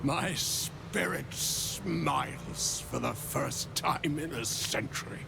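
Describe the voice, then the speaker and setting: A grave, long-suffering spirit in a fantasy game. The voice is intensely creaky and crawls along solemnly at a middling male pitch, with clear ambient noise around it. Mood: solemn, grateful. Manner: slow, solemn, halting. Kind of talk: video game cutscene acting. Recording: noisy ambience behind voice.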